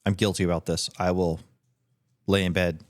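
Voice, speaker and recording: A man's voice: deep voice, level tone, normal speed, no room sound, clean studio sound. A man on a casual podcast, self-deprecating and wry.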